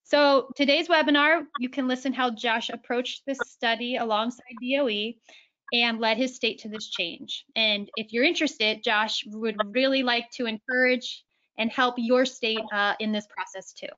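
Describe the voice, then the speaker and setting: A woman with a fairly high voice hosts a webinar and introduces the speakers in a friendly, scripted way. The audio is a little dull and lo-fi. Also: fairly even intonation, moderate pace, fairly bright tone. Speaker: webinar host and moderator. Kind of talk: hosting a webinar.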